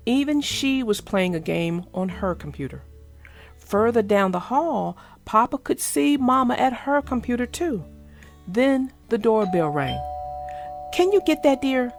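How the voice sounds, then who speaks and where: Woman narrator, children's read-aloud, fairly high pitch, animated intonation, creaky edges, guitar music behind. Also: measured pace. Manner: expressive storytelling. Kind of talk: scripted storybook read-aloud.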